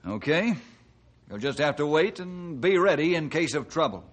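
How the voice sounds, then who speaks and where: An actor playing a calm, commanding forest ranger chief in 1950s radio adventure style, briskly giving orders. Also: male voice, a little high. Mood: calm, businesslike. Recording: noisy vintage 1950s radio recording.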